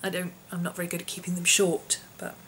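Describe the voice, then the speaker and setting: A woman, her voice hoarse and husky from an infection, chatting casually in a vlog with lots of ums and small laughs. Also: lowish voice, completely flat delivery, fast, fairly bright tone, slight background noise. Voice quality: husky, often whispery. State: hoarse, voice partly lost from infection. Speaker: vlogger.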